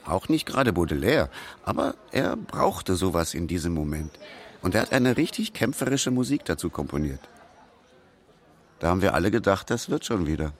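A man's voice: low voice, very animated, moderate pace. An actor playing a wry, supportive songwriter friend recalling his encouragement in a radio play monologue. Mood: earnest, wry.

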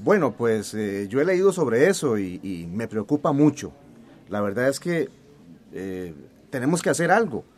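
Earnest, animated village man, played by an actor. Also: medium pitch, moderate pace, lightly acted. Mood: concerned. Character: concerned, supportive village man at a workshop. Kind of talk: radio drama acting, conversational.

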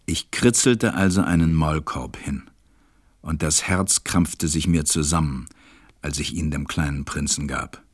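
A stranded pilot telling his story in a radio play. His voice is low, tender and melancholy. It is recorded dry and close. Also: measured pace, husky, no room sound, full character voice. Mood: wistful. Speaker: narrator. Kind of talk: audio drama narration and acting.

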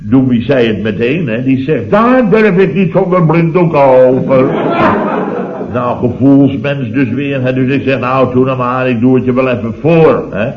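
An actor playing a blustering middle-aged building boss who rambles indignantly in a late-1960s Dutch radio comedy serial. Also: male voice, mid-range voice, lively intonation, unhurried, crowd noise, natural voice. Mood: exasperated. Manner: exclamatory, rambling, indignant storyteller with tag words. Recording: muffled old radio recording.

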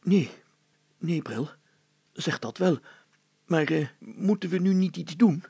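A man voicing a fussy, excitable town mayor in a storybook, hesitant and exclaiming, often repeating himself. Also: fairly high pitch, slow, creaky, slightly rough, no room sound, pitched above the performer's own voice, heavily acted. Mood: nervous.

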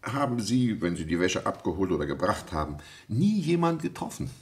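An actor playing a brusque, authoritative French police prefect who questions witnesses curtly in a German radio play. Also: male voice, on the low side, big pitch swings, brisk. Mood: curt, businesslike. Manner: curt, commanding, interrogating.

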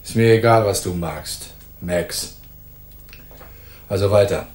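A hot-tempered bully of a police detective in a noir radio play. He taunts and sneers in a fairly low voice, aggressive and contemptuous. The room around him echoes. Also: takes it slowly. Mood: menacing, contemptuous. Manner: mocking, aggressive, swinging from soft taunts to barking. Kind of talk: noir radio drama dialogue.

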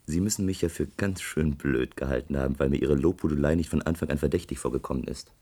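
An actor playing a bitter, gifted young doctoral student in a German radio play, sarcastic and accusing, with deliberate delivery. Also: male voice, a bass voice, mellow. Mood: guarded, defiant.

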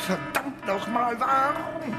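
High-pitched, exasperated colony leader. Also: male voice, animated, slow, over loud music, heavily acted. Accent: standard German. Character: exasperated male leader of a space colony project. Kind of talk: radio play acting.

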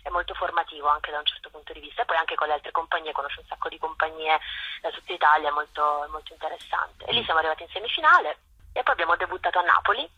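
A woman's voice: deep voice, rapid-fire, sharp tone, husky, dry recording, poor audio. A woman theatre director answering interview questions thoughtfully, often restarting her sentences.

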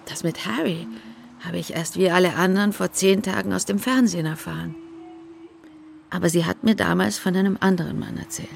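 An actress in a radio drama playing a reticent middle-aged witness, speaking in a hushed, deliberate way as she remembers. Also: fairly low pitch, vocal fry, a little hiss or hum. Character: reticent woman witness remembering her dead friend's secrets.

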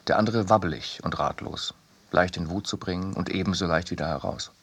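Low, slightly husky man reading prose. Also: a little fast, a bit bright. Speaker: reader in a radio production. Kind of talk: scripted literary essay reading.

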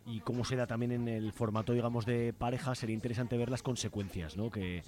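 A man's voice: medium pitch, fairly even intonation, fast talker, a little mellow. A man from hosting a radio discussion in a conversational, moderating way. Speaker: radio host.

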